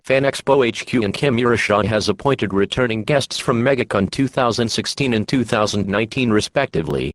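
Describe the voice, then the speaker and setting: A man's voice: medium pitch, no room sound, natural voice. A man's computer voice playing an emergency alert announcer, reading an official message in a stiff synthetic way.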